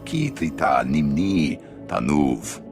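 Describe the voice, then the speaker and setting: An actor playing an alien who speaks an invented tongue in a video game. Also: male voice, rumbling low, slow pace, over music, heavily acted. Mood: animated.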